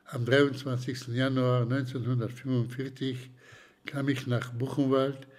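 An older man from Slovakia, giving slow, hesitant testimony in an interview with many pauses and restarts. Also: mid-range voice, little pitch movement, a little mellow. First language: German as childhood language, long unused.

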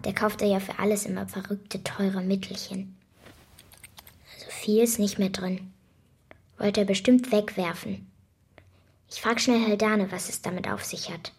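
A woman's voice: mid-range voice, steady tone, measured pace, gravelly, long pauses, husky, exaggerated voice. A woman playing a curious, impatient young girl in a children's radio drama, colloquial and sassy.

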